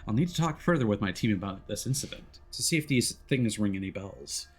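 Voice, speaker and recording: Read as a male streamer narrating a story game aloud, expressive and theatrical, slipping into casual asides between passages. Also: lowish voice, brisk, breathy edge, soft background music. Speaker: streamer playing a visual novel.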